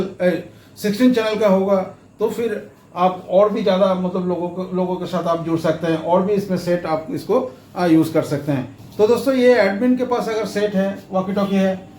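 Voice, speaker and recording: A man giving a step-by-step tutorial, explaining haltingly and repeating himself, with English terms mixed in. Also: sits high, a little mellow. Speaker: instructor, tutorial presenter. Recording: roomy echo, faint background noise.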